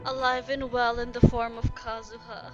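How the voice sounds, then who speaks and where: A woman's voice: a little high, flat delivery, normal speed. A young woman giving casual, fan-style reaction commentary on a game, recorded on a slightly dull lo-fi mic. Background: faint game music.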